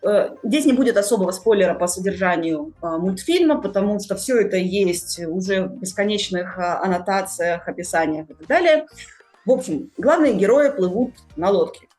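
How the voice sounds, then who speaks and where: A woman hosts a podcast in a conversational style. Her voice sits at an ordinary middle pitch, with soft music playing faintly behind it. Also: lively intonation, moderate pace. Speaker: podcast host.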